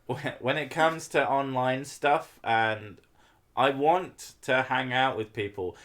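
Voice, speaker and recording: Male streamer, mid-pitched, rambling casual chat with swearing. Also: slow, a bit bright. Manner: swears often, rambling with fillers.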